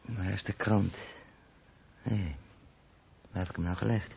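A man's voice: low voice, fairly even intonation, unhurried, vocal fry. An actor with a Netherlands Dutch accent playing a haulage company boss who thinks aloud alone in his office, in a radio play. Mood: musing. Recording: muffled, noisy old radio play recording.

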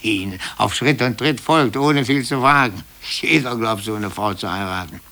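An actor in a radio play voicing an embittered, brooding middle-aged office clerk who speaks haltingly and repeats himself. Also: male voice, fairly low pitch, pushed and bright. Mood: bitter, resigned. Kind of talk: 1950s German radio play acting.

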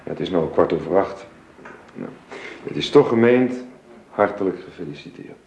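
An actor playing a stiff, hurried middle-aged gentleman and father, formal and slightly hesitant, in a Dutch radio play. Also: sits low, expressive, creaky. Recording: muffled, roomy old radio recording, faint noise.

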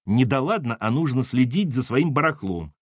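A man voicing a brisk, scolding wife and mother in an audiobook, with a clipped, commanding manner. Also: mid-range voice, big pitch swings, no echo, studio quality.

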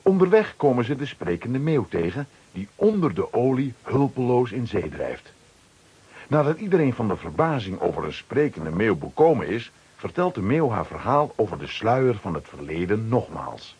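A man narrating a scripted children's radio play as its storyteller, with a husky voice. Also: mid-range voice, very animated, normal speed, darkish tone.

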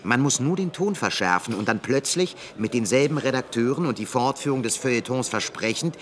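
A man plays an ambitious young intellectual in a radio drama, sharp-tongued and pushy. His medium-pitched voice is very animated, coaxing the listener with brash confidence. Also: fairly quick, close to an everyday voice. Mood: scheming. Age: young adult. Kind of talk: German radio drama acting.

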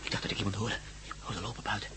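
An actor playing a nervous, complaining pilot in a radio drama, reproachful and given to repeating himself. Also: male voice, fairly low pitch, fairly even intonation, rapid-fire, background noise, close to an everyday voice. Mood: nervy, insistent. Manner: nervous, whining, repetitive. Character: fretful, complaining male pilot, fearful and reproachful.

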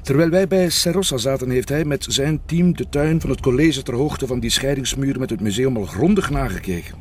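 A man's voice: medium pitch, brisk, a little hiss or hum, close to an everyday voice. A man playing a sceptical, methodical police inspector with a Flemish accent in a radio drama, reasoning aloud a little hesitantly. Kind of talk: naturalistic radio drama dialogue.

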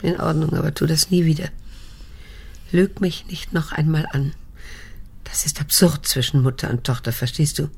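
An actress playing a troubled, restrained adult daughter in a radio drama, speaking in a controlled, grave and insistent way. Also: female voice, low voice, moderate pace, vocal fry, long pauses, darkish tone, slightly rough, full character voice. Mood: firm, reproachful. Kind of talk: radio drama acting with introspective narration.

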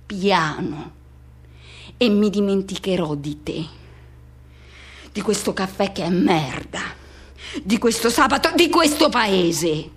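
A woman performing a radio theatre monologue as an embittered, seething man haunted by a lost love, with intense, incantatory delivery. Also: mid-range voice. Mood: resentful, resolute. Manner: intense, incantatory repetition, swinging from hushed to shouting.

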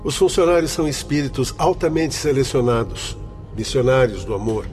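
A man's voice: mid-range voice, expressive, moderate pace, darkish tone, lightly acted. Casting: male actor for an earnest celestial doctor and guide, mid-range voice, articulate and formal, radio drama with music bed. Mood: earnest, explanatory. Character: earnest learned spirit doctor, courteous guide of a celestial institute. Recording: music behind the voice.

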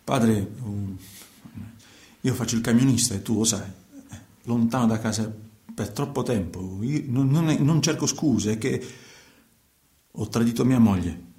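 An actor playing a troubled middle-aged truck driver, telling his story haltingly in a radio play. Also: mid-range voice, warm tone, echoey room, natural voice. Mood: sheepish. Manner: hesitant, halting, repetitive, colloquial. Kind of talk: theatrical monologue in a radio play.

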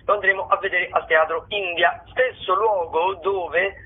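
A man's voice: sits high, fairly even intonation, unhurried. A man giving a semi-improvised radio rundown of upcoming theatre shows as a correspondent over the telephone. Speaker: radio theatre correspondent presenting weekly listings. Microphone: telephone call into a radio programme. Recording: narrow tinny phone line.

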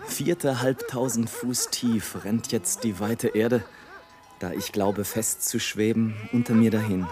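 An actor playing a rapturous, scornful airship balloonist in a theatrical, declamatory radio play monologue, swinging between grand exultation and hush. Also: male voice, on the low side, fairly even intonation, a little slow, close to an everyday voice. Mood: marvelling. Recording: studio radio play with music.

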